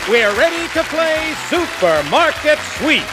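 A man with an American accent announcing a game show in an excited style. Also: very high voice, unhurried. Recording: loud studio crowd and music behind.

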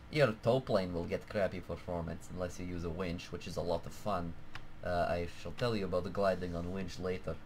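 A deep-voiced man chats through his flight sim session in a put-on Russian accent, drifting from dry asides to sudden excited yelps. A faint hum of room noise sits under his voice from a home desk mic. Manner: jokey, excitable, bursts of alarmed shouting. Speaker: gameplay commentator. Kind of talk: casual let's-play commentary.

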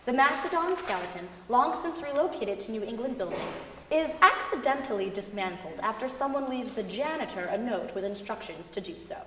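Lively young woman, echoey hall. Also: on the high side, brisk. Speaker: reader in a dramatic reading. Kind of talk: staged dramatic reading. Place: large concert hall stage. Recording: echoey, noisy concert hall, long reverb.

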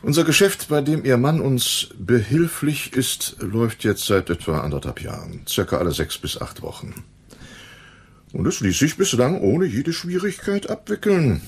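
An actor playing a shady, condescending dealer in a German radio crime drama, with a sardonic manner. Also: male voice, medium pitch, sing-song, normal speed, slightly rough. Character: shady, condescending male dealer in crooked business.

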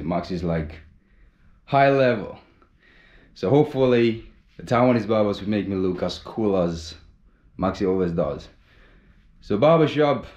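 A young man vlogging casually to a camera held at arm's length, upbeat and chatty, swinging from loud hype to low mumbling. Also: reverberant, muffled recording. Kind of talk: casual vlog talk to camera. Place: small indoor room.

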